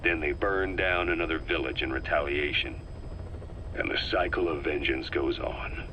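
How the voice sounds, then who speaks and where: An actor playing a grim, seasoned soldier giving a grave, deliberate war briefing in a video game. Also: male voice, very deep voice, noisy background. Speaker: military briefing narrator in a game. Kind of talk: scripted briefing monologue in a video game cassette tape.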